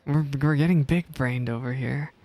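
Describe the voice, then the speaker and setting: A woman's voice: extremely low pitch, moderate pace, dark tone. A woman casually commenting as she reacts to a game story.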